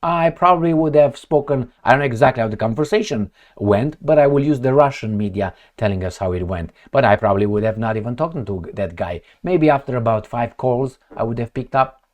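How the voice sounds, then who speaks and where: Male commentator, medium pitch, very lively intonation, blunt, sarcastic, combative, opinionated news reaction. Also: a little fast, hardly any pauses. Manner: blunt, combative, sarcastic, swearing, rhetorical questions. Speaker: political commentator.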